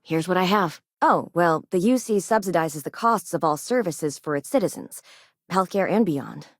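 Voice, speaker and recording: An actress playing a principled, caring but firm frontier doctor in a science-fiction video game, speaking in a wry, matter-of-fact way. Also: female voice, on the low side, lively intonation, normal speed, no room sound, clean studio sound. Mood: helpful, matter-of-fact. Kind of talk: naturalistic video game dialogue acting.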